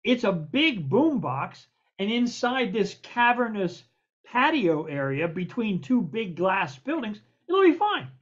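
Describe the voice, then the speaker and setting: An older man, a producer and co-host, telling an emphatic, animated story over a video call. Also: sits high, slow pace. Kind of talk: casual storytelling. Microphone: video call webcam. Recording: dull lo-fi video call audio.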